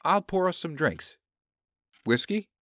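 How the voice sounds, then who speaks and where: A man's voice: on the high side, expressive, a little slow, fairly bright tone, dry recording, clean studio sound. A man playing a genial, unruffled friend in an audio drama, affable, wry and reassuring.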